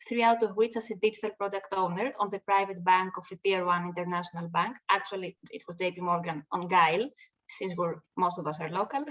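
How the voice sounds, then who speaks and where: A woman's voice: medium pitch, recorded from a distance. A woman from Greece with a Greek accent, introducing herself in a friendly way on a business webinar. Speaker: consultant, panelist.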